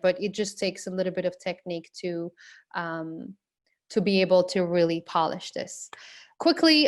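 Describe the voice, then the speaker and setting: A woman from Morocco, an online business coach, giving a live online class in an earnest way with frequent fillers and restarts. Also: mid-range voice.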